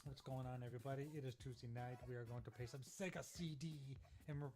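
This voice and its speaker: A man's voice: medium pitch, fast. A man hosting a casual live stream, joking and bantering with the chat while he fiddles with his mic. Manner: talks over beer, relaxed and cussing. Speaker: stream host.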